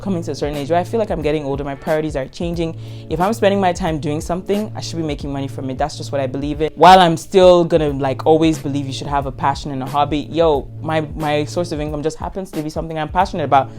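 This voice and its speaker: A woman's voice: low voice, fast talker, a little mellow, soft background music. A Nigerian woman in her twenties, a content creator answering viewer questions in a casual vlog, frank and emphatic, repeating words for stress. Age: 20s.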